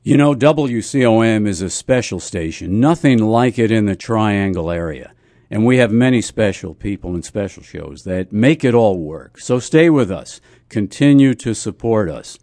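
A man hosting community radio, chatting casually about music in an unhurried, reflective way. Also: lowish voice, lively intonation, warm tone, dry recording, studio quality.

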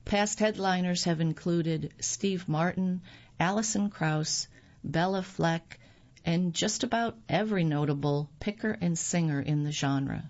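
A woman reading magazine articles aloud on the radio in a measured, scripted delivery. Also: on the low side, a little mellow. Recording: dull lo-fi recording.

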